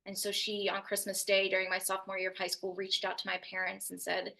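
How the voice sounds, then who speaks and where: A young woman speaking as a youth mental health speaker, telling her personal story to an audience in an earnest, steady way. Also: medium pitch, brisk, gravelly, low-quality recording. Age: young adult. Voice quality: loud voice.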